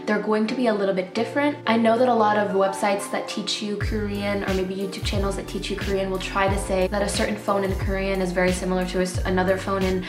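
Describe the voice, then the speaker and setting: A woman's voice: mid-range voice, little pitch movement, fast talker, hardly any pauses, reverberant, music in the background. A young woman whose first language is Nepali, giving casual tips in a chatty vlog full of fillers. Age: young adult. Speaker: vlogger.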